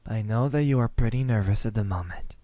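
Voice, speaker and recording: A young man playing a menacing student kidnapper in an ASMR roleplay, calm and smug, speaking softly and deliberately into a close microphone. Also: on the low side, no echo, close to an everyday voice. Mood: soft, unmasked relief. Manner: soft, deliberate, breathy sighs, dropping into whispers.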